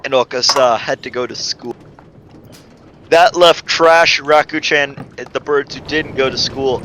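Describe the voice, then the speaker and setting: A man giving an amateur dramatic reading of a story, stumbling over the text and breaking off into casual, exasperated asides. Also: medium pitch. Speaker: reader of a fan fiction story. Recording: faint background noise.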